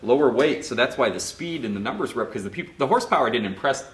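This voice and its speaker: A man co-hosting a podcast, chatting casually with quick, enthusiastic asides. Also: mid-range voice, animated, a bit bright. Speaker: podcast co-host. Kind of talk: casual chat. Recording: roomy echo.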